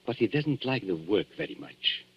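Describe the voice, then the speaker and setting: An actor playing a suave, menacing antique dealer with formal, sardonic politeness in a 1940s radio thriller. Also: male voice, on the low side, big pitch swings, moderate pace, husky, heavily acted. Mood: cool menace. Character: suave, menacing male antique dealer toying with guilty visitors. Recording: dull 1940s radio broadcast.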